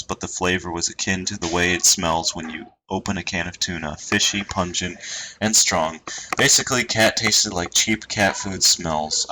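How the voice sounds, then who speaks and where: A man streaming, chatting casually and reading posts aloud in a dry, monotone way. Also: deep voice. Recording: noisy home stream recording.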